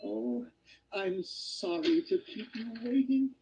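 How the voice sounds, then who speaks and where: An actor playing a flustered, polite and fussy hobbit host in a radio play, hesitant and apologetic. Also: male voice, sits high, takes it slowly, creaky, dark tone, husky, lo-fi sound, heavily acted.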